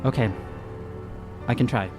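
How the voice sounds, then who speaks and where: A man talking casually as a player in a tabletop game, in short, tentative phrases. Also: unhurried. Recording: loud music bed under the voice. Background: background music.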